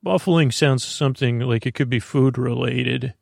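Creaky-voiced man rambling soothingly. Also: mid-range voice, a little mellow, dry recording, clean studio sound. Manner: soothing, meandering, halting with frequent stammers and self-interruptions. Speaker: podcast host. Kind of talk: rambling bedtime sleep podcast monologue.

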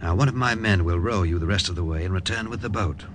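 An actor playing a formal, measured naval officer giving instructions in a 1950s radio drama. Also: male voice, deep voice, natural voice. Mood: businesslike, calm. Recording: noisy old radio recording.

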